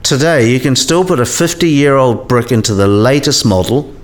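A man's voice: medium pitch, moderate pace, lightly acted. A man playing a patient, scholarly professor in a radio play, explaining things in a didactic way.

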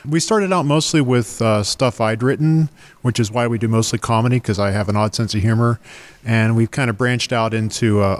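A man with a deep baritone voice who produces radio dramas, speaking in a hesitant, casual interview style with many pauses. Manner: hesitant, many fillers and restarts, chuckles.

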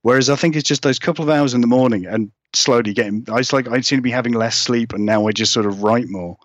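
A man's voice: medium pitch, fast talker, no echo, lo-fi sound. A British man chatting casually as an interview guest, rambling and acting out remarks as he goes. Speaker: guest horror writer.

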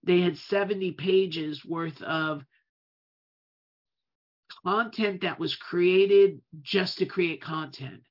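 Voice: medium pitch, slow pace, pauses often. Speaker with a native Italian background, emphatic delivery. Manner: drags out key words for emphasis, with restarts. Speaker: web business owner giving a talk. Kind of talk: casual presentation. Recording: dull lo-fi recording.

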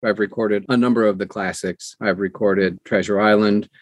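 An older man who narrates audiobooks, talking conversationally in an interview with measured, emphatic phrasing and deliberate pauses. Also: lowish voice, fairly even intonation, no echo.

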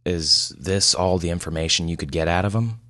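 A man voicing a weary, skeptical doctor in an audio story, speaking in a measured, patient way. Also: low voice, sharp tone, dry recording, studio quality.

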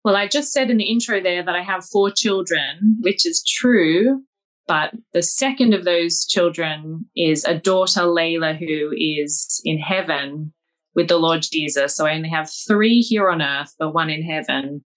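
A woman with an Australian accent, a guest author giving calm, measured, personal answers in an interview. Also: on the low side, poor audio.

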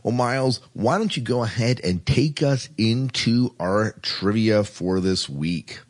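A man's voice: on the low side, animated, unhurried. A man hosting a podcast in a casual, informal, rambling way. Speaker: podcast host.

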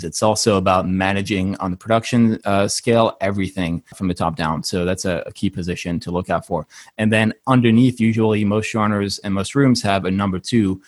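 A man from France with a French accent, a TV drama writer explaining things in a measured way on a panel webinar. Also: low-pitched, dry recording.

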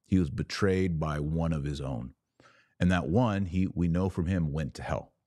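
A man with a very low, mellow voice speaks as a podcast host giving religious commentary. He is didactic and emphatic, setting out his phrases deliberately. The sound is dry and close, with little room to it. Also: no echo.

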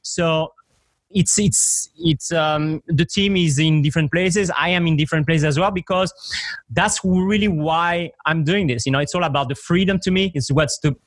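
A man with a Belgian accent answers podcast questions in a relaxed, conversational way, pausing often on fillers. His voice is a bit high for a man and sounds dry, with no room echo. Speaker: guest, software company owner. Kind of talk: casual interview chat.

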